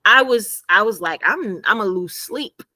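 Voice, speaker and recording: A woman guest and former math teacher in a conversational interview, telling animated stories and laughing often. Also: mid-range voice, normal speed, no echo, clean studio sound.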